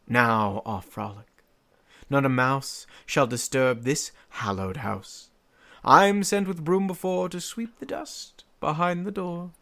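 A man's voice: fairly high pitch, vocal fry, a bit bright, exaggerated voice. A man playing a mischievous fairy sprite, eerie and coaxing, in theatrical Shakespearean verse for a radio play. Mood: eerie, playful. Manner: theatrical, deliberate, dramatic swings.

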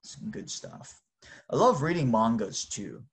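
A man's voice: medium pitch, very animated, measured pace. A man whose native language is Japanese, chatting casually to his listeners in an informal, exclamatory way. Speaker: host.

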